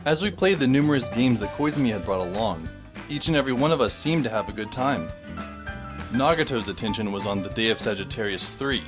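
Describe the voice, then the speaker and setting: Guy doing a snarky teen boy narrating, dry and sarcastic, pitch moves around a lot, music under it. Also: lightly acted. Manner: dry, sardonic. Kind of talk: fan audio drama narration. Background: background music.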